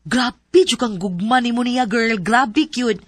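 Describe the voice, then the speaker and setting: A woman's voice: on the high side, slow, dry recording. A woman acting the lead's frank, teasing friend in a radio drama, giving blunt advice. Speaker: supporting character, the lead's friend.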